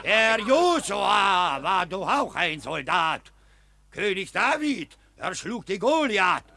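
An actor playing a fiery Capuchin friar who thunders rhymed sermons at a crowd of soldiers in a radio play. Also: male voice, sits high, very animated, slow, full character voice. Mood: scolding sermon. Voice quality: rasping, thundering rhymed preaching. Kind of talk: stage verse radio play.

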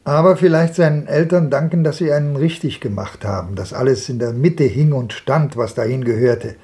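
An actor playing a musing, mildly wry old man in a 1950s radio drama, speaking slowly. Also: medium pitch, warm tone, lightly acted. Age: older.

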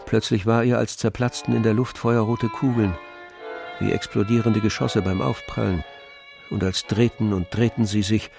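A man with a low voice and a gravelly creak narrates a literary radio drama. His delivery is solemn and measured, with string music behind him. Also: a little mellow.

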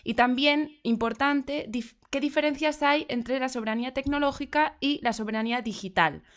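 Friendly Galician woman hosting a podcast. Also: sits high. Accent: Galician Spanish. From Galicia, Spain. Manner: friendly, with quick laughs. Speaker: podcast host.

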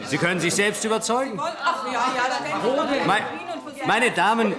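A man's voice: high voice, sing-song, slow pace, full character voice. An actor playing an evasive, flustered official spokesman in a German radio play, stammering and repeating himself to placate reporters. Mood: flustered, placating. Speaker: press spokesman. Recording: loud crowd of voices behind.

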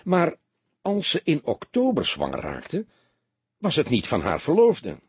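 Man playing a hesitant, husky young detective. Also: fairly high pitch, huge pitch swings, a little slow, dry recording, voice pushed up, full character voice. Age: young adult. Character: restless, insecure young male detective, eager junior colleague. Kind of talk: audiobook character dialogue.

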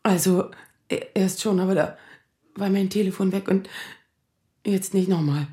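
Actress as distraught thirties woman, anxious, halting delivery, naturalistic radio drama, roomy sound. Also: medium pitch, fairly even intonation, mellow, lightly acted. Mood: evasive, upset. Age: 30s. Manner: halting, stammering. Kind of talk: naturalistic audio drama acting.

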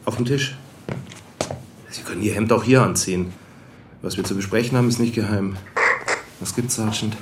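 An actor playing an authoritative police lieutenant in a radio play, plain and in command. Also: male voice, on the low side, normal speed, long pauses, reverberant, recorded from a distance, a little hiss or hum, lightly acted.

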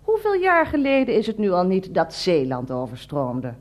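A woman's voice: medium pitch, huge pitch swings, moderate pace. An actress playing a prim, self-righteous cleaning lady in a radio drama, formal and deferential to her employer. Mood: indignant, opinionated. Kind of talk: Dutch radio drama dialogue.

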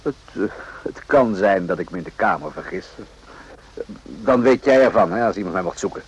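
An actor playing a composed, probing army captain in a radio drama, speaking in a measured, understated way with hesitant pauses. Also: male voice, low-pitched, lively intonation, husky. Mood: guarded, measured. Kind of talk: radio drama acting in Dutch. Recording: dull, muffled old radio recording.

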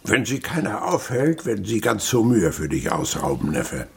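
An older man playing a stern, miserly old father in a radio drama, sarcastic and blustering, with a gravelly voice. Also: lowish voice, very animated, full character voice. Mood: gruff, menacing. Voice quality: gravelly, husky. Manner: sarcastic, blustering, drawn-out words.

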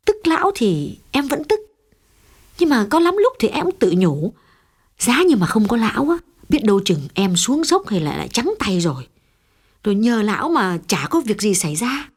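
Man in falsetto as a fretful woman shopkeeper. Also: sing-song, moderate pace, husky, exaggerated voice. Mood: doubtful. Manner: chatty, hesitant, fretful falsetto. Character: prosperous middle-aged woman shop owner, anxious and torn.

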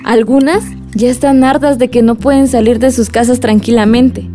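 A woman's voice: fairly high pitch, fairly even intonation, normal speed, warm tone, soft background music, lightly acted. A woman with a Mexican accent playing an earnest, indignant young goddaughter in a village radio drama. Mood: pleading, indignant.

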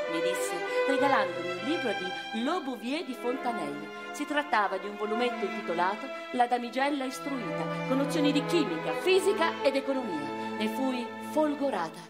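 An actress playing a passionate, literary eighteenth-century noblewoman, telling her life in an expressive, reflective radio-theatre monologue. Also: on the high side, normal speed, hardly any pauses, natural voice. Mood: fond, reflective. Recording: music behind the voice.

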